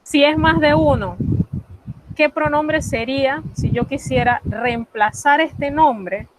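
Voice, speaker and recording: A woman's voice: on the high side, rough recording. A woman, teaching an online French class and patiently repeating the key points. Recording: loud background noise.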